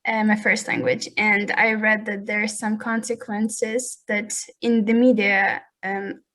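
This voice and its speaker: A woman's voice: medium pitch, level tone, a little slow, sharp tone. A woman whose first language is Russian, asking questions on a video-call panel, hesitant and searching for words. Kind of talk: roundtable discussion.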